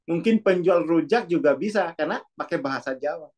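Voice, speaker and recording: A man's voice: fairly high pitch, expressive, a little fast, darkish tone. A man, a Muna speaker, talking in a casual, reflective monologue that is loose and full of asides. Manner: musing, self-correcting, loose with many asides. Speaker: speaker on consciousness and self-development.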